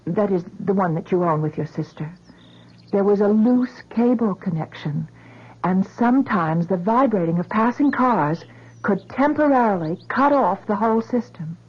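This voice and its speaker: Gentle persuasive friend, earnest, breathy husky voice, 1970s radio drama, dull old recording. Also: female voice, mid-range voice, lively intonation, normal speed. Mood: gentle, careful. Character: concerned, reasoning woman, gently persuasive friend.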